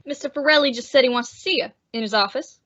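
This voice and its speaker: A sneering, belligerent bar henchman played by a woman, high and sharp-edged in voice. He needles with sarcasm in old radio crime style, recorded in slightly muffled sound. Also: fast talker, no room sound. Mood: threatening. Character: cocky, belligerent male barroom tough guy, sneering henchman. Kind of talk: old-time radio detective drama acting.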